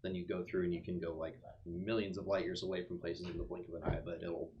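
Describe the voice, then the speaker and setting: Low voiced guy at game night, pretty monotone, chatting casually. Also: fast talker, dull audio, a little hiss or hum. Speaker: player in tabletop game. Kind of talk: casual chat.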